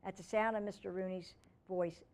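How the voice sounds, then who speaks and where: A woman's voice: medium pitch, steady tone, moderate pace, darkish tone. A woman reading aloud as a children's storyteller, with informal asides along the way.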